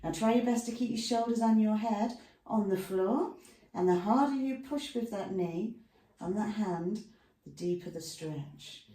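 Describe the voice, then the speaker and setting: A woman's voice: mid-range voice, unhurried, dark tone. A woman instructor talking a class through stretches at home in a chatty way, with lots of asides between the cues. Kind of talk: guided exercise instruction. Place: room at home with a dog nearby. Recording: echoey room, mic far off.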